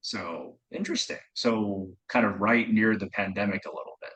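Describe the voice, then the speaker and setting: A man's voice: fairly low pitch, moderate pace, a bit bright, low-quality recording. A man introducing a channel and interviewing a guest, asking questions politely and encouragingly.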